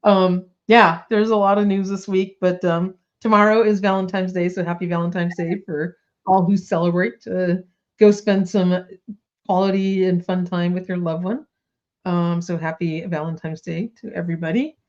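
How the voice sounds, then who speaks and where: A woman's voice: on the low side, steady tone, normal speed, a little mellow, highs cut off. Chatty woman with a slight cold. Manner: chatty, bubbly, lots of likes and ums. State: coming down with a cold. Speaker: toy news podcast co-host. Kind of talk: casual chat.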